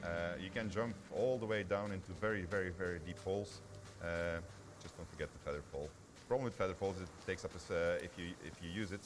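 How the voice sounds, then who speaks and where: A man's voice: low-pitched, quick pace, hardly any pauses, background noise. A man giving an informal live gameplay demo as a developer, hesitant and thinking aloud as he goes. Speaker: game developer presenting a demo. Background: loud music.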